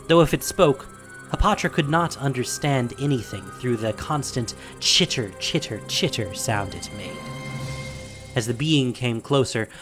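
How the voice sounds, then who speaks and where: A man's voice: mid-range voice, a bit bright. Male narrator of dramatic fantasy.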